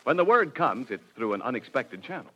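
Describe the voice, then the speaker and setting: A man's voice: medium pitch, sing-song, brisk, a bit bright. A man announcing in 1940s radio style. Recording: dull vintage radio recording with music.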